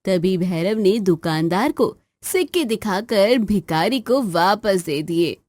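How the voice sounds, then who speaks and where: A woman's voice: mid-range voice, animated, slow, a little mellow, no echo. A woman narrating a scripted children's story.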